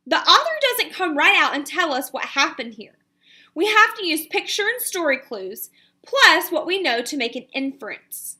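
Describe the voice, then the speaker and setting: A woman, an elementary teacher reading a picture book aloud and giving pupils instructions in an expressive, deliberate way. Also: high voice.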